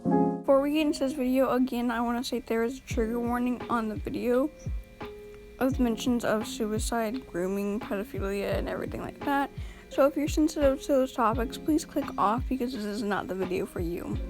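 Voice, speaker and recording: A woman recording an early-morning voiceover for a drama commentary video, sounding tired and a little raspy, blunt and exasperated in a casual way with lots of fillers. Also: a little high, a little fast, over music. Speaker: commentary YouTuber. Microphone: home voiceover mic.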